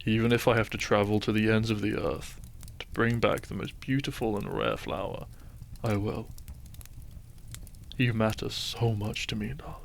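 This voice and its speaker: A man playing a gentle, devoted doctor and groom in a romantic audio roleplay, soft-spoken and measured. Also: lowish voice, creaky, a little hiss or hum. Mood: tender, reassuring.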